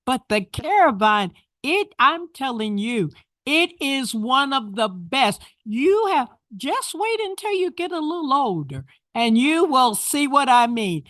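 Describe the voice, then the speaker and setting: A woman's voice: high-pitched, very animated, measured pace, fairly bright tone, dry recording, studio quality. A woman giving fervent, thankful public comment with blessings at a council meeting. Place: council chamber.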